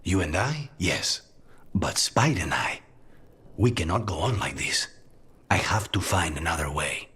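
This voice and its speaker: A grave, conflicted fantasy game character. His voice is very low and breathy, with a forceful edge. He sounds brooding and husky. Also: big pitch swings, measured pace, full character voice. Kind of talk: video game dialogue acting.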